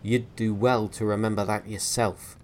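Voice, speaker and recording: A man's voice: lowish voice, little pitch movement, normal speed, lightly acted. A man voicing a surly male sailor who barks dismissively. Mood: irritable. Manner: barking, dismissive.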